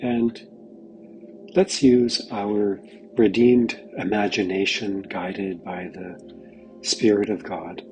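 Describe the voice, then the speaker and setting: Slow, low-voiced man leading meditation. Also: low-quality recording. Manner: gentle, unhurried, pausing mid-phrase. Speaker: guided meditation leader. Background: background music.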